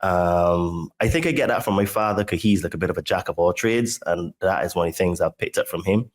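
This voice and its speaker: Chatty low-voiced fitness coach guest. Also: male voice, fairly quick. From Barbados. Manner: enthusiastic, chatty, says "dude" and "right?". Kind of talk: casual chat.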